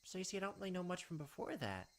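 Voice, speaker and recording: Performer putting on a high, sweet, dreamy schoolgirl. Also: sing-song, rapid-fire, lightly acted. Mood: evasive. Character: cutesy, dreamy, sweet teenage schoolgirl in visual novel.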